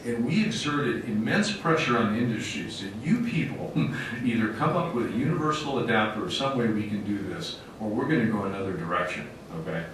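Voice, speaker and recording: A man with a fairly low voice gives a public presentation as a fire officer. His delivery is emphatic and plainspoken, with a folksy turn. He is recorded at a distance in a very echoey meeting room. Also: expressive, a little fast. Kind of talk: public presentation to a council committee. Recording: very echoey room, distant mic, faint rumbling noise.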